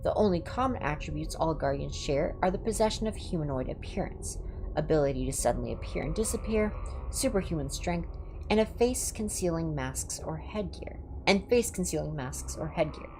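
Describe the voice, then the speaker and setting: Female ASMR story reader, low register with lively intonation, measured clinical delivery for a horror livestream. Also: noisy background. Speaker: livestream host reading horror stories. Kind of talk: ASMR reading of scripted entries. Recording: music behind the voice. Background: background music.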